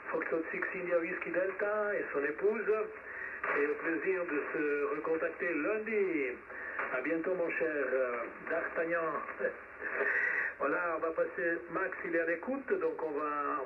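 A man hosts an amateur radio net in a somewhat high voice. He comes through a thin, band-limited shortwave signal with clear static around him. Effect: shortwave radio transmission. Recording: tinny, noisy shortwave radio reception, distant.

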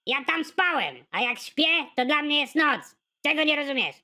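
The narrator voicing a grumpy, bossy male prison guard in an animated comedy, barking orders in an irritable tone. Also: sits high, normal speed, voice pushed up, full character voice.

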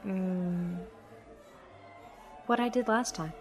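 A woman playing a dedicated, weary young teaching assistant in an audio drama, measured and quietly resolute. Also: mid-range voice, soft background music. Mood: subdued.

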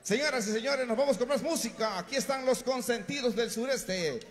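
Male mc on an outdoor stage, high animated voice, lots of hall reverb from the pa. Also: a little fast. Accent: Mexican Spanish. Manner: lively, joking, shouting into mic. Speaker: event emcee. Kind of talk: live stage announcing. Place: outdoor concert stage under a large roof. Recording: PA sound with long reverb.

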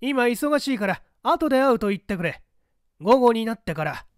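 Man playing pompous older official, blustering, raised lively pitch, old-fashioned speech, dry close sound, storybook drama. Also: normal speed, no echo. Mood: brisk, impatient. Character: brisk, pompous older male government bureau chief, bluff father.

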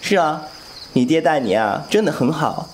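Young wuxia swordsman, played by a man: cheeky and playful, lighter-pitched, animated intonation. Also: normal speed, a little hiss or hum, close to an everyday voice. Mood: playful, teasing. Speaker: voice actor. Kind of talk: Chinese wuxia audio drama acting.

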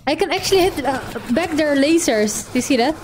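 A young Dutch woman playing a VR game on stream, reacting with excitable, squealing exclamations. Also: sits high, a little fast, background noise. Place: indoor room, playing standing with a headset.

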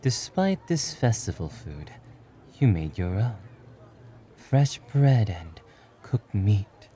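A man narrating a scripted second-person ASMR story in a soft, hushed, intimate manner. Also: lowish voice, big pitch swings, takes it slowly.

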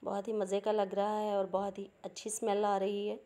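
A woman's voice: medium pitch, completely flat delivery, moderate pace, dark tone. A woman with a Pakistani Urdu accent, hosting a cooking channel and patiently explaining each step of a home recipe.

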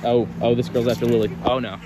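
A man filming a camp game on an open field, giving chatty, excited running commentary. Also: medium pitch, fast talker, heavy background noise. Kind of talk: casual play-by-play commentary. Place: open grassy field outdoors. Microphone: phone camera held by the filmer.

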